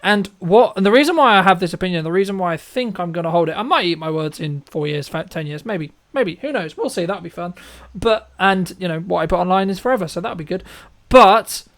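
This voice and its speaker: A man's voice: sits high, expressive, brisk, a bit bright. A man hosting an interview, speaking his opinions emphatically and repeating phrases to drive points home. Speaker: podcast host and filmmaker.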